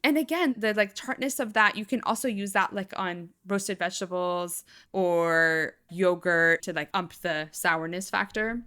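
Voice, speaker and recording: A woman co-host talking casually on a podcast, her voice bright and clear. She chats loosely, leans on "like" as a filler, and laughs easily. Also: fairly low pitch, a little slow, hardly any pauses. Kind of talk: casual chat.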